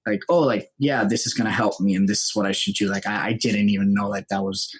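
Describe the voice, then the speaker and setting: A man's voice: fairly low pitch, fairly even intonation, quick pace, slightly rough. A young man from Rico, telling his story informally as a podcast guest. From Puerto Rico. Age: young adult. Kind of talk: casual chat, telling personal story.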